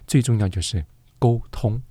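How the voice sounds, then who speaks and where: A man's voice: fairly low pitch, moderate pace, mellow, no room sound. A man with a Taiwanese accent hosting an interview in a conversational, attentive way. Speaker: interview host.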